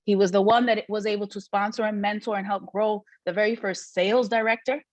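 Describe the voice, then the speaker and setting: A woman's voice: medium pitch, steady tone, fairly quick, no room sound. A woman hosting a training on a video call, introducing the next speaker with emphatic, preacher-like praise.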